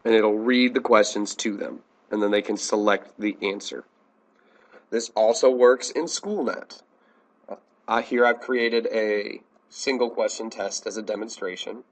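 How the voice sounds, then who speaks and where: An American man teaching a software tutorial in a plain, step-by-step instructional manner. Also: fairly low pitch, fairly even intonation, measured pace. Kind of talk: instructional screencast narration.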